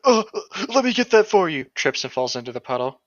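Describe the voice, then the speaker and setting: A young man bantering casually and reading aloud with deadpan sarcasm and free swearing. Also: on the high side, fairly quick, dry recording, muffled recording. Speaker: co-host reading and mocking a book.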